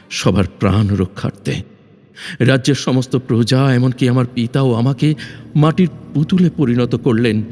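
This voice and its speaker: A man's voice: mid-range voice, a little mellow, natural voice. A man playing a young, valiant, dutiful prince with solemn, formal, declamatory delivery in a fairy-tale audio drama. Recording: long reverb with music behind.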